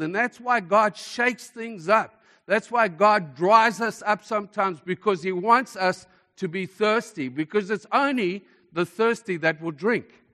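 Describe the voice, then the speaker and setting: A man preaching a sermon in an emphatic manner, repeating phrases for effect as a preacher to a congregation. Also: high voice. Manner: emphatic, repeats phrases for effect, swings between hushed and forceful delivery. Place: large hall, speaking to a congregation. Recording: echoey hall with long reverb.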